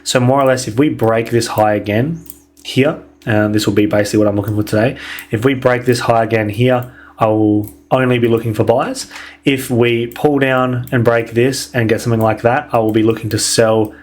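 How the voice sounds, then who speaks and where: A young man losing his voice and sounding croaky, casually walking through a weekly trading outlook with lots of ums. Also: medium pitch, moderate pace. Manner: relaxed, filler-heavy, many ums. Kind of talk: casual market analysis over charts.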